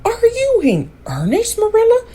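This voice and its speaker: A woman's voice: extremely high pitch, huge pitch swings, normal speed, dark tone, pitched above the performer's own voice, exaggerated voice. Woman acting a nosy, easily scandalized neighbour lady. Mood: astonished. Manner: demanding, exclamatory. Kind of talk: storybook character voice in an audiobook reading.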